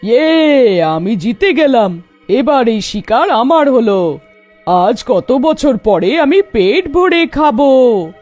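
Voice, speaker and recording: A greedy cartoon witch, acted out by a woman, high-pitched and husky. She gloats menacingly over her prey, swoops up and down and breaks into shrill cackles, with music behind her. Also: very animated, a little mellow, full character voice. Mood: triumphant. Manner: gloating, menacing, drawn-out phrasing.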